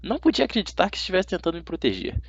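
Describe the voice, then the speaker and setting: A man with a Brazilian accent narrating a first-person story as an amateur audiobook reader, dramatic and expressive. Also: sits high, very fast, creaky, slightly rough, dry recording. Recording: slightly dull, dry audio.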